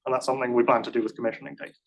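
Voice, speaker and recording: A man at a lecture-room conference fielding technical questions. He talks in a casual, halting way, restarting sentences and hedging with self-deprecating remarks. His voice sits at an ordinary middle pitch. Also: flat delivery, creaky. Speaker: conference speaker answering questions.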